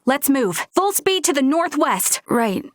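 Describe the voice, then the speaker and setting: A woman's voice: high voice, very animated, a little slow, dry recording, clean studio sound. An actress playing an earnest, polite and conscientious young female Federation officer in a video game. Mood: brisk, determined. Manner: polite, conscientious, sometimes hesitant.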